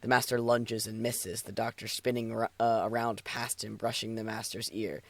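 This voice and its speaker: A man's voice: on the low side, level tone, normal speed, dry recording. A man streaming, switching between casual, sweary banter and narrating a story aloud. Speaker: streamer reading fan fiction aloud.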